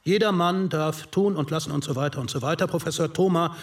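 A man's voice: on the high side, brisk, distant mic, lightly acted. An actor playing a cautious, earnest male delegate arguing courteously in a postwar committee radio play. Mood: earnest, reasoning. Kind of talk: radio play, re-enacted debate.

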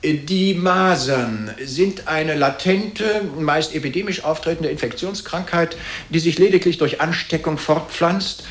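Actor as a pedantic lecturer in an echoey room. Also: male voice, a little high, a little slow, close to an everyday voice. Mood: dry, pedantic. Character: pedantic male lecturer reciting medical facts and statistics. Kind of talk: formal scripted radio play delivery, encyclopedic. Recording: roomy, echoing sound.

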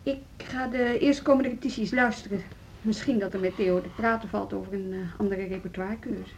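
An actress playing a weary, frustrated music teacher in an understated, naturalistic radio drama. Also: female voice, mid-range voice, a little fast, darkish tone, a little hiss or hum, lightly acted. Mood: concerned. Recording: dull radio drama recording, music behind.

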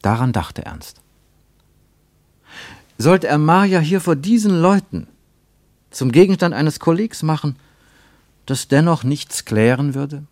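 Grave reflective storyteller, radio play narration, unhurried pace, dry close sound. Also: male voice, fairly high pitch, animated, darkish tone, dry recording. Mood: measured, reflective. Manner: measured literary storytelling. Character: grave, reflective male storyteller of a travel tale.

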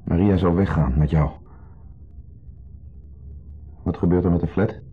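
An actor playing an insecure, resigned husband, hesitant and subdued, in a radio drama. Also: male voice, low voice, steady tone, fast, husky, soft background music. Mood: uneasy. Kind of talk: 1970s radio drama acting. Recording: dull 1970s radio play recording.

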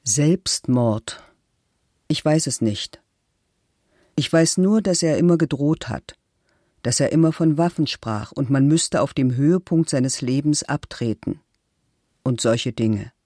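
Composed middle-aged female accused: measured, formal documentary reading, close and dry. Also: very deep voice, animated, mellow, no echo, full character voice. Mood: reflective. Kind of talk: documentary reading of statements and letters. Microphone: close studio microphone.

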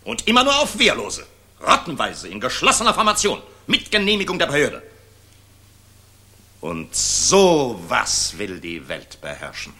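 An actor in a 1960s German radio drama playing a sardonic, commanding air force general with a scornful, barking delivery. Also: male voice, mid-range voice, wildly swooping pitch, a little slow, bright, forceful tone, full character voice. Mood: biting scorn.

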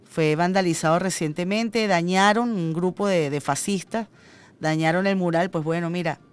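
A woman's voice: lowish voice, moderate pace, clean tone. Chatty Venezuelan woman radio host. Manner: chatty, personal anecdotes with fillers. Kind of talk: radio news and call-in chat.

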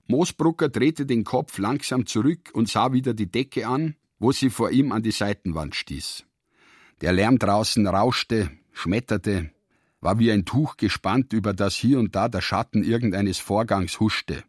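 A man narrating a literary radio drama in measured, deliberate phrases. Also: on the low side, slightly rough, no echo, studio quality.

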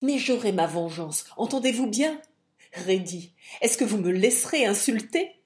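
A woman's voice: medium pitch, lively intonation, a little slow, darkish tone, roomy sound, lightly acted. A woman voicing a dramatic, coquettish young lady in an audiobook, exclaiming theatrically with indignation and pleading. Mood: scornful. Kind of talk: audiobook character acting.

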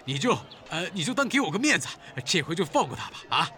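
An actor playing a fussy shopkeeper who coaxes and makes peace in an audio drama. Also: male voice, sits high, very animated, fast talker, bright, forceful tone, a little hiss or hum, exaggerated voice. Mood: flustered, pleading. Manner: coaxing, peacemaking. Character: fussy, placating fish-buying shopkeeper, a man.

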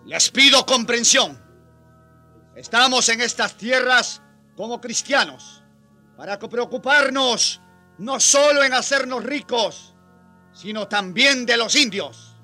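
A man's voice: very high-pitched, lively intonation, takes it slowly, bright, forceful tone, faint music behind, full character voice. A man playing an earnest, solemn colonial priest who defends native people, in preaching radio drama style. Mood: solemn, impassioned.

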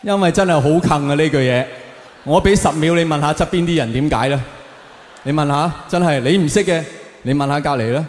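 A man with a Hong Kong Cantonese accent does stand-up in a hall with long reverb. His voice sits a little high. He delivers opinions with mock seriousness and keeps addressing the audience with rhetorical questions. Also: a little fast. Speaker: stand-up comedian. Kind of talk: stand-up comedy routine to a live audience. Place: live venue, on stage before an audience.

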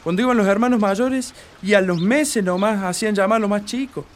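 A man's voice: high-pitched, fairly quick, a little hiss or hum, lightly acted. A man with a northern Argentine accent playing a blunt, skeptical country man in a radio play. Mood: reflective.

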